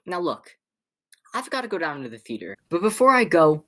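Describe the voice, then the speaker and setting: A teenage girl playing a flustered, exasperated man in a school radio play, stammering and halting as she speaks. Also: fairly low pitch, sing-song. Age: teens. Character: flustered, exasperated male theater critic and nephew.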